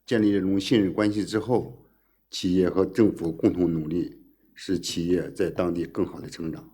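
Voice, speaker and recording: A man's voice: sits low, steady tone, normal speed, dark tone, slightly rough. A man, a native Mandarin speaker, giving business remarks in short segments for an interpreter. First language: Mandarin Chinese.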